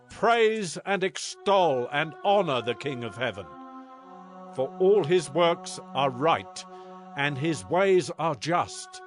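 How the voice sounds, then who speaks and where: A man playing a proud, majestic ancient king in a grand, declamatory manner for a dramatized scripture recording. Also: a little high. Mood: exultant praise. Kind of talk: dramatized scripture audio acting. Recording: loud music underneath. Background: music.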